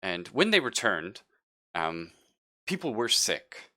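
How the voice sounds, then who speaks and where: Man playing hesitant low-voiced ship's doctor Flynn. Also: big pitch swings, pushed and bright, dry recording, studio quality, exaggerated voice. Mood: uneasy, evasive. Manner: halting, hesitant explaining. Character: Flynn, hesitant evasive ship's doctor waking from long cryosleep.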